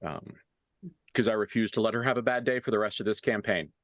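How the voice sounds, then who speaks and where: A man's voice: lowish voice, fairly quick, a bit bright, no echo, studio quality. A man acting as game master, improvising narration for a tabletop roleplaying game in a casual, mildly profane way.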